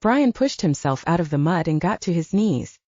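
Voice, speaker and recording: Dark-voiced woman narrator, lively pitch swings. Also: moderate pace, no room sound, lo-fi sound. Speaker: audiobook narrator. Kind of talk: scripted first-person fiction narration.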